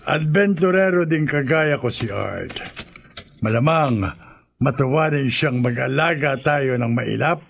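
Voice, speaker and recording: A man's voice: medium pitch, expressive, unhurried, gravelly. A man playing a stubborn, commanding older grandfather and hacienda owner in a radio drama, with a Filipino accent. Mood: firm.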